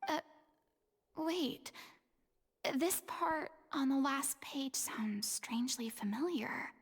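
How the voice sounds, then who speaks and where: A woman voicing a gentle, thoughtful young female adventurer in a game, speaking softly and breathily. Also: sits high, lively intonation, slow pace. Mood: solemn. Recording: reverberant game audio.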